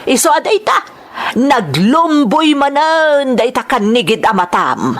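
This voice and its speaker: A woman's voice: high voice, sing-song, unhurried, pitched above the performer's own voice. A Filipino woman voicing a worried, scolding mother of a troublemaking son in an audio drama.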